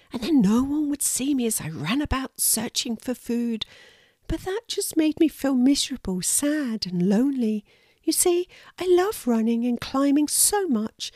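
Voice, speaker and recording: A woman's voice: sits high, darkish tone, no echo, clean studio sound, voice pushed up. A woman voicing a small, shy, earnest young female squirrel in a children's storybook, with a little, apologetic voice. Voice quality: little, thin voice.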